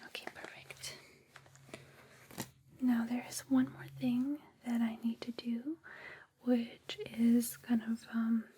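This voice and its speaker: Female ASMR roleplay attendant: breathy whisper, soothing and reassuring, even intonation, faint noise and clicks behind. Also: on the high side, slow, pauses often. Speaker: ASMR host playing a space suit fitting attendant.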